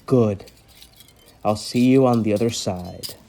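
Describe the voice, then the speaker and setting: A man acting in a horror audio play as a controlling, condescending doctor with an imperious, deliberate delivery. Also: fairly low pitch, vocal fry, warm tone, a little hiss or hum. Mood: coldly persuasive. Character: menacing, controlling male conversion doctor, cold and condescending.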